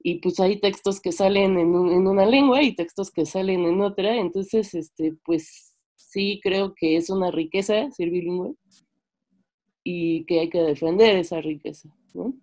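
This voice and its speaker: Mexican woman, twenties, lowish voice, conversational and halting, informal sharing over a video call from home. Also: dark tone. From Mexico, French-Mexican. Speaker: seminar participant, filmmaker. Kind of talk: informal sharing in an online discussion. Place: bedroom, indoors on a video call. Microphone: computer microphone on video call.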